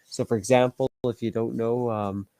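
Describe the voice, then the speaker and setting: Nasal Canadian man, warm and dry. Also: mid-range voice, fairly even intonation, moderate pace, no echo. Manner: friendly, encouraging interjections. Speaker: podcast host. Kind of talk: casual chat.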